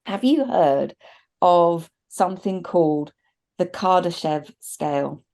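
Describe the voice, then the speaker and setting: Middle-aged female podcast co-host, relaxed dark tone, slightly low register, reflective and chatty, discussing informally. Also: takes it slowly. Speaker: podcast co-host reviewing films. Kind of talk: casual discussion and commentary. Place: indoors at a desk, video call with virtual backdrop.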